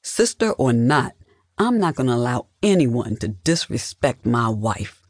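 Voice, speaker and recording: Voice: medium pitch, very animated, a little slow, no echo, clean studio sound. A narrator voicing an indignant, protective husband with a blunt, emphatic manner. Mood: fuming.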